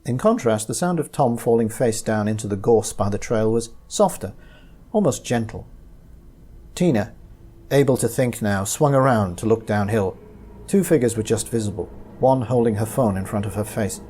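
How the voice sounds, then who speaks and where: A man reading a short story aloud as its narrator, in an expressive, dramatic way. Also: fairly low pitch, moderate pace, breathy edge.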